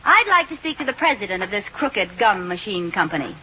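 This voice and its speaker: An actress playing a feisty, indignant housewife with exasperated, emphatic delivery in old radio sitcom style. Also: female voice, medium pitch, big pitch swings, fairly quick, sharp tone. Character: feisty, indignant housewife standing up for her rights. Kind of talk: 1950s radio sitcom acting. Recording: noisy, muffled old radio recording.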